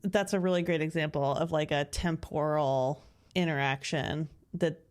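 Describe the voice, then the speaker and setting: A woman's voice: low-pitched, steady tone, normal speed, darkish tone. A woman co-hosting a podcast, talking casually and thoughtfully, often saying "like". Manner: thoughtful, chatty, many "like" fillers. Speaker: podcast co-host. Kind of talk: casual conversation.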